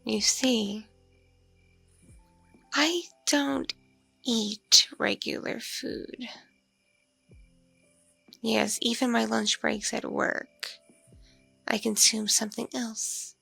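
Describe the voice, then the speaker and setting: Woman acting a slow, husky, creaky vampire seductress. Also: on the high side, fairly even intonation, pushed and bright, no echo, faint music behind, exaggerated voice. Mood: hungry, seductive. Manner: slow, teasing, hesitant hums and pauses. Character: seductive, hungry mature vampire mother, playfully condescending. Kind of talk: intimate ASMR audio roleplay.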